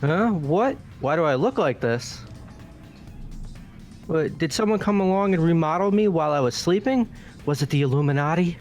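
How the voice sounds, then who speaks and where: A man's voice: a little high, lively intonation, normal speed, a little mellow, lightly acted. A man voicing a goofy, clueless young guy who protests in a whiny, slangy way, in a fan-dubbed visual novel. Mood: bewildered. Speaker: voice actor in fan game playthrough. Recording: music under the voice.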